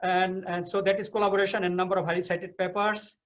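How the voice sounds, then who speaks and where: A man's voice: high voice, level tone, quick pace, fairly bright tone, lo-fi sound. A middle-aged Bangladeshi man, a professor giving advice in a webinar, talking with many hesitant fillers and restarts. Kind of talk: advice talk in English.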